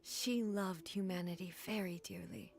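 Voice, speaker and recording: A solemn regal goddess ruler. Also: female voice, lowish voice. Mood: reflective. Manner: measured, formal, grave. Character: solemn regal female god ruler, composed. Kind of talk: game voice acting. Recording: faint music behind.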